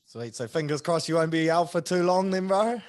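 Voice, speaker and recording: High-voiced New podcast host. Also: male voice, a little fast. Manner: relaxed, matey, says bro often. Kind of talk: casual podcast interview.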